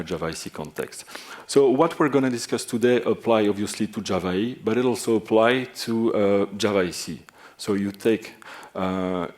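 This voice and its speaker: A man with a Belgian French accent presents a technical session from a large conference stage into a stage microphone. His voice sits a little low, and he pauses often with filler sounds as he explains. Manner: hesitant, many filler sounds. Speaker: conference speaker.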